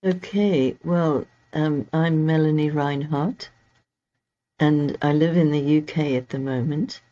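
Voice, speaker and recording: A woman's voice: sits low, fairly even intonation, slow, warm tone. A woman from Zimbabwe, a guest astrologer, telling stories from her past in a reflective, anecdotal way over a remote call. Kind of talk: conversational interview storytelling. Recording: slightly dull lo-fi audio.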